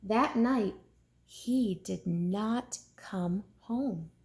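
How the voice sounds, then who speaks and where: A woman reading a storybook aloud like a teacher, expressive and deliberate for young listeners. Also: mid-range voice.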